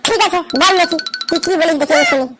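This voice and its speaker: A woman's voice: very high-pitched, fast, loud music behind the voice. A woman voicing an exasperated cartoon schoolteacher who scolds her pupils. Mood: scolding.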